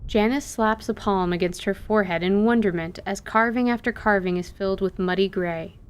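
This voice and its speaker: A woman's voice: medium pitch, fairly quick, smooth. A woman giving scripted, present-tense narration for a fantasy audio drama.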